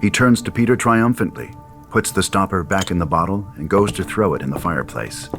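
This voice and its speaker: A man reading scripted dramatic stage directions as a narrator, in a deliberate storytelling manner. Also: low-pitched, over music.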